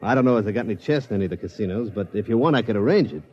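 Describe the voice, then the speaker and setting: A slangy, swooping street hustler. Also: male voice, fairly low pitch, lively intonation, racing, darkish tone, breathy edge. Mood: chummy, slick. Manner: streetwise slang, breezy wise-guy patter. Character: fast-talking, slangy male hustler and gambling tout, breezy. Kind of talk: 1950s radio drama acting. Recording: dull old radio recording.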